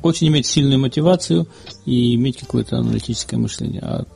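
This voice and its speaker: This is a man hosting a casual chat show. His voice is gravelly and husky, with a mellow, dark tone. He talks in a measured, unhurried way. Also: mid-range voice, soft background music. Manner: measured, reads out numbered listener questions. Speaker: podcast host.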